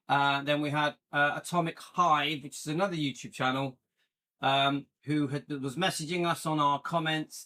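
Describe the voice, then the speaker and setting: Chatty middle-aged man, bright voice. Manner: chatty and rambling, jumps into a higher playful pitch when joking. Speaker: YouTube channel host. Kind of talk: casual live-stream chat reading viewer comments.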